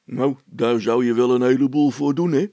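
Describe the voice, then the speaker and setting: A man's voice: mid-range voice, fairly even intonation, moderate pace, warm tone, dry recording, natural voice. A man voicing a reluctant, wry village policeman in an audiobook story, with a folksy, grumbling way of talking.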